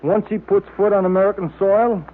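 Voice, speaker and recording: An actor playing a breezy, plain-spoken detective's sidekick, slangy and informal, in old-time radio drama style. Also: male voice, high-pitched, fairly even intonation, a little fast, lightly acted. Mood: doubtful, uneasy. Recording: tinny, hissy old-time radio recording.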